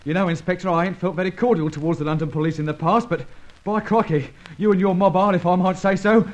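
An actor playing an eager, ingratiating small-time London crook in an old radio serial, with effusive, slangy speech. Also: male voice, high-pitched, steady tone, quick pace, dull audio, natural voice. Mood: relieved gratitude. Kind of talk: 1940s-50s radio crime serial acting.

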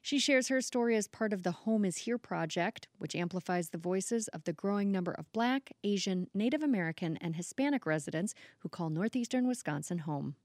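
A woman who is a radio producer, giving scripted introductions in a clear, measured way. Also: mid-range voice, expressive, a little mellow, no echo, studio quality. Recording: faint music behind voice.

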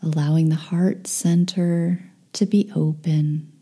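A woman leading a guided meditation in a soft, breathy, near-whispered voice, gentle and soothing with long pauses. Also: low-pitched, steady tone.

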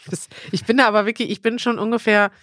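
A woman's voice: on the high side, fairly even intonation, moderate pace, fairly bright tone, dry recording, clean studio sound. A woman, chatting informally as a podcast co-host. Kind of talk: casual conversational podcast chat.

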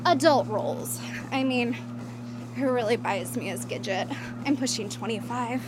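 Spoiled actress, early thirties, female performer: condescending, unhurried, slightly high voice, audio drama. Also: background noise, lightly acted. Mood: self-assured. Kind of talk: naturalistic audio drama acting.

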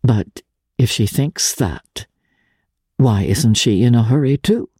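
Woman voicing a worldly, wounded aristocratic lady, slow and measured. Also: rumbling low, animated, warm tone, slightly rough, no echo, studio quality, exaggerated voice. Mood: subdued, probing. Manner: measured, dramatic, with halting pauses. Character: worldly, wounded aristocratic woman, low-voiced then passionately indignant. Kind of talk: dramatic literary audiobook character reading.

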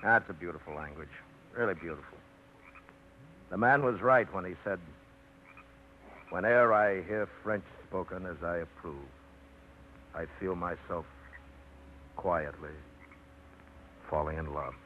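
An actor playing a blunt, well-read man who runs a truck line, dry and low-key, in a 1950s radio drama. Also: a little slow, pauses often. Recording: tinny, hissy 1950s radio broadcast.

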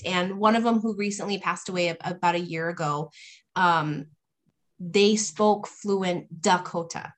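Woman, interview guest, casual storytelling, hesitant, frequent ums, mid pitch.

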